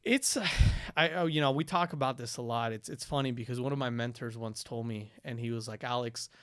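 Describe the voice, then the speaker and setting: A man's voice: medium pitch, fairly quick, clear, resonant tone, no room sound, studio quality. A young man from Ecuador, a podcast guest telling stories casually with lots of "like" and "dude". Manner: animated storytelling, frequent "dude" and "like", many restarts.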